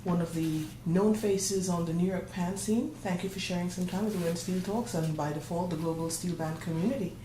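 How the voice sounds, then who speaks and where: A woman's voice: fairly low pitch, brisk, hardly any pauses, background noise. A woman hosting an interview, asking questions and prompting her guest, often finishing his sentences. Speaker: interviewer, host. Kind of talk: conversational interview. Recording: echoey room, faint ambience.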